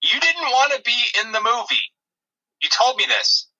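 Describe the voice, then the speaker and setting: Male comic podcaster: piercingly bright tone, aggrieved, stumbling, off-the-cuff banter delivery. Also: very high-pitched, big pitch swings, low-quality recording. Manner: defensive, halting, aggrieved. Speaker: comedy podcast co-host.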